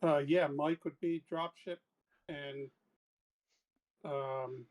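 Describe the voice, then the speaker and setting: A man's voice: a little high, fairly even intonation, extremely slow, darkish tone. A man playing a tabletop roleplaying game, chatting casually and hesitantly as he thinks through his choices aloud. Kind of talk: casual chat.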